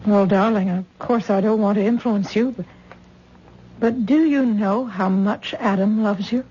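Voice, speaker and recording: A woman's voice: medium pitch, unhurried, mellow, slight background noise, lightly acted. An actress playing a worried middle-aged mother who coaxes and frets over her grown daughter, in a 1970s radio drama. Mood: anxious. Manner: fretful, coaxing. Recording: dull old radio broadcast recording.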